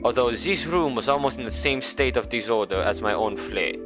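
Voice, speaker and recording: A young man reading a visual novel aloud, with playful, sarcastic asides and mock-dramatic slowdowns. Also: medium pitch, music in the background. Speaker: game streamer.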